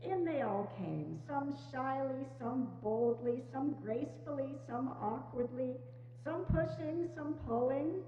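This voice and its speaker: A woman tells a story as narrator in a high voice. The staged reading rings in a hall, with faint noise and dull sound. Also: lively intonation, unhurried, warm tone. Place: church hall, dramatic reading before microphones. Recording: dull, noisy, echoing church hall.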